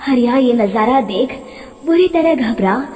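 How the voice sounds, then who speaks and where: A woman's voice: a little high, expressive, measured pace, a little mellow. A woman narrating a scripted story in a dramatic storytelling style. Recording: long reverb.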